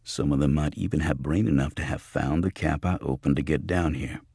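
Man voicing a deep, cool streetwise fighter. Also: a little fast, vocal fry, warm tone, slightly rough, no echo, studio quality, full character voice. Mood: grim. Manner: mirthless, matter-of-fact, wry. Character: cool, grim, streetwise male fighter in cyberpunk thriller.

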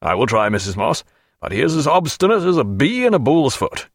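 A man voicing a brisk, hearty naval captain giving orders and coaxing a friend, in a storybook reading. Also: mid-range voice, very animated, no echo, studio quality, voice pushed up.

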